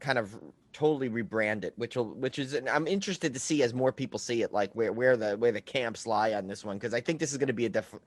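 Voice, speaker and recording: A man hosting a podcast, chatting casually about films in halting phrases that often circle back. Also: medium pitch, no echo. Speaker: podcast host. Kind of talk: casual film chat.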